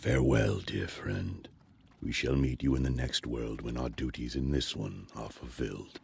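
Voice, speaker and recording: A man voicing a grave old alien sage in a fantasy video game, gravelly, solemn and formal. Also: moderate pace, darkish tone, a little hiss or hum. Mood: sorrowful. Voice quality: very deep, gravelly. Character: grave, deep-voiced old alien sage leading a secret rebellion.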